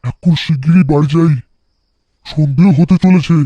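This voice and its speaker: A man's voice: a little high, normal speed, warm tone, no echo, lo-fi sound, natural voice. A man playing a poor, weary, worried bangle seller who sighs plaintively in a cartoon folktale. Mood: drowsy. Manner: plaintive, sighing. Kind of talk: animated folktale voice acting.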